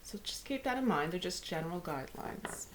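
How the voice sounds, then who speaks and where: A woman with a head cold and a slightly raspy throat, hosting and talking through a weekly tarot card reading in a soft, unhurried way. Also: lowish voice, animated, heavy background noise. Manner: soft, unhurried, with whispered invocations. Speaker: tarot reader.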